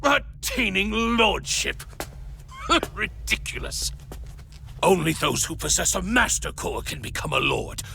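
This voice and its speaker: An actor playing a sneering, treacherous villain in a video game, with theatrical scorn and a creaky voice. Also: male voice, sits high, sing-song, measured pace, sharp tone, slight background noise, exaggerated voice. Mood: scornful. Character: sneering, treacherous male villain, contemptuous of slaves. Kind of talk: English video game dub acting.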